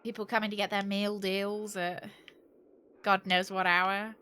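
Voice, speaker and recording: A woman's voice: mid-range voice, little pitch movement, a little slow, sharp tone, clear, resonant tone. A British woman chatting casually and thinking aloud to viewers as she streams a video game. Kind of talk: casual chat while playing a game.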